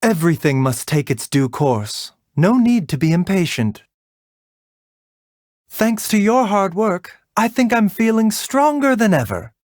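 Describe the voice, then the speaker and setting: Slow, measured, refined gentle doctor. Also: male voice, sits high, sing-song, no echo, studio quality. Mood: warm, reassuring. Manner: measured, soft-spoken, polite, lightly teasing. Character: gentle, refined male doctor, calm and caring, mildly wry. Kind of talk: video game character voice lines.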